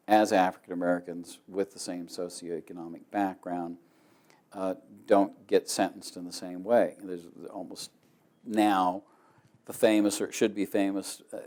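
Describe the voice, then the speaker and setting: A man's voice: deep voice, a little mellow. A man giving conversational interview commentary, explaining and correcting himself as he goes. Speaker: documentary interviewee.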